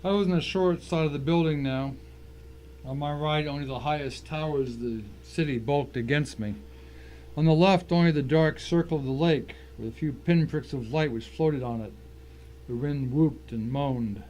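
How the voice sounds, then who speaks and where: A man's voice: fairly high pitch, normal speed, darkish tone, lo-fi sound. A man giving a dramatic first-person reading of a short story as its narrator. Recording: faint noise and ambience behind.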